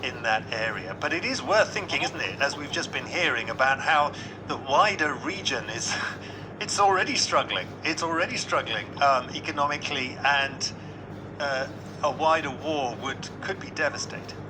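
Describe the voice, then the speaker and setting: A man presenting radio news, asking probing, measured questions in an interview. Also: medium pitch, expressive, noisy background.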